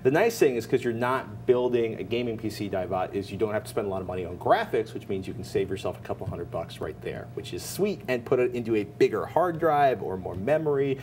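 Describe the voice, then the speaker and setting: A man's voice: lowish voice, lively intonation, brisk, hardly any pauses, darkish tone, a little hiss or hum. A middle-aged American man co-hosting a tech show at a studio desk, presenting and giving advice casually.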